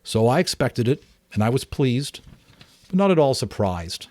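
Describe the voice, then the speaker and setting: Gentle, earnest father played by a male reader. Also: medium pitch, sing-song, moderate pace, dry recording, voice pushed up, lightly acted. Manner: earnest, reminiscing. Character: gentle, shy, quiet father telling his childhood memories.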